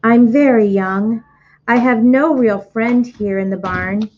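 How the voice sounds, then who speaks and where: A woman's voice: a little high, slow pace, highs cut off, background noise. Wilbur, a whiny, gloomy young pig, read by a woman. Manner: whiny, mournful.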